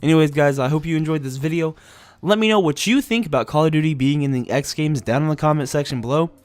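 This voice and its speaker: A man's voice: on the high side, fast talker, no echo. A young man with a nasal, stuffy voice from allergies, giving a blunt, opinionated casual rant as a gaming vlogger. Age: young adult. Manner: blunt, opinionated, many "you know"s.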